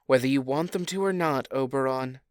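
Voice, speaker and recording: A woman playing a sardonic fairy queen in a modern comedy audio drama, deadpan and taunting toward her husband. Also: a bass voice, fairly quick, clear, resonant tone, no room sound, studio quality. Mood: measured, knowing. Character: cool, sardonic fairy queen, teasing wife.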